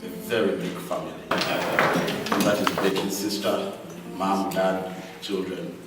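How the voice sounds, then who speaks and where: A man's voice: deep voice, little pitch movement, a little slow. Man speaking in an echoey hall. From Uganda. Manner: warm and grateful, addressing the crowd directly. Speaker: speaker thanking supporters at an event. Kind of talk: heartfelt speech to an audience. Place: large hall with an audience. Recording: echoey hall, distant mic, loud music.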